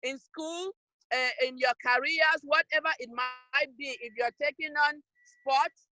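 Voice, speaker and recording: A woman's voice: high-pitched, fairly even intonation, a little slow, pushed and bright, slight background noise, poor audio. A woman from Cameroon, giving an emphatic, scolding motivational talk to young people over a video call. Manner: emphatic, scolding, direct to listeners. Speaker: youth program speaker.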